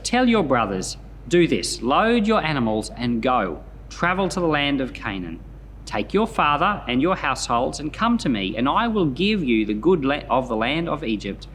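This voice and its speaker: A man reading scripture and commenting on it in an expressive storytelling manner, as a pastor hosting a Bible podcast. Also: medium pitch, a little hiss or hum.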